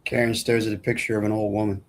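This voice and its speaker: A man's voice: fairly low pitch, little pitch movement, quick pace. A man narrating stage directions at a film script table read in a plain, matter-of-fact way.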